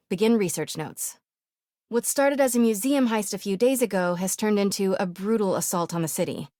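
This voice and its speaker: A woman voice actress playing a determined young investigative reporter in a video game, with an American accent and a breathy, hushed manner. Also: mid-range voice, dry recording, clean studio sound, close to an everyday voice. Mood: serious, determined. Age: young adult. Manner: hushed, self-talking, breathy.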